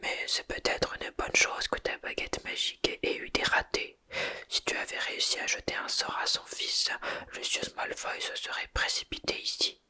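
A reader whispering the lines of a gruff, grumbling male half-giant groundskeeper in a storybook. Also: moderate pace, sharp tone. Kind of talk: ASMR whispered storybook reading.

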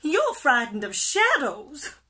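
Woman as a squeaky, excitable little pufferfish. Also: takes it slowly, heavily acted. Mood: giggly, teasing. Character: Pufferfish, small bubbly excitable teasing fish.

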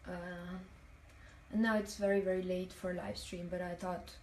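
A woman's voice: lowish voice, little pitch movement, moderate pace, a little mellow. Young woman, native italian speaker, chatting on a phone live, lots of ums, echoey bathroom, faint hum behind. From Italian-speaking Switzerland. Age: young adult. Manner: chatty, reads comments aloud, many fillers. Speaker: livestream host. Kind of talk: casual livestream chat. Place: hotel bathroom, sitting on the floor. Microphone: phone held at arm's length, talking to a mirror. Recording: echoey tiled bathroom, phone mic at a distance.

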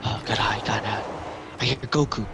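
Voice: medium pitch, steady tone, moderate pace. A gamer play-acting dramatically during a casual online game role-play over a noisy recording. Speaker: video game player.

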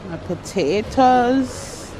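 A woman's voice: a little high, lively intonation, drawn-out words, background noise. A woman vlogger chatting casually and admiringly as she films around a large indoor market. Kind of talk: casual chat while filming a market visit. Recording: handheld camera in loud busy market hall. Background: loud market ambience.

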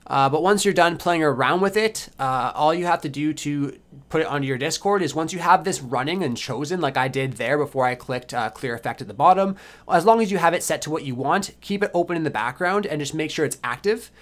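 Fast-talking man narrating a tutorial. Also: a little high, lively intonation, fairly bright tone. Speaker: tutorial host. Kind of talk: casual software tutorial narration.

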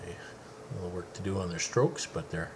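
Easygoing low-voiced dad narrating home video. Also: male voice, moderate pace, darkish tone, slight background noise. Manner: easygoing, chatty, with fillers. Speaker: father filming a family outing. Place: outdoors by a lake.

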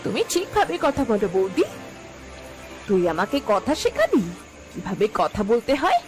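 A woman's voice: on the high side, huge pitch swings, moderate pace. A woman playing emphatic, melodramatic village women in a cartoon folk tale. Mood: bickering. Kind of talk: Bengali cartoon fairy-tale voice acting. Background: background music.

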